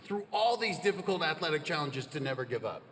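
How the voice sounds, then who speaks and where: High, bright-voiced male moderator on stage. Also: sing-song, fast talker, slight background noise. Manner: enthusiastic, joking. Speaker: panel moderator. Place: stage in a large hall. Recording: long hall reverb.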